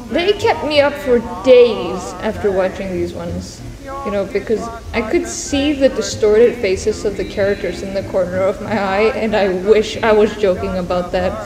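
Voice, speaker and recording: A woman giving casual countdown commentary to camera, conversational and a little hesitant, with dramatic emphasis on certain words. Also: a little high. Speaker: host of a countdown video. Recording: echoey reverberant room, clear background noise.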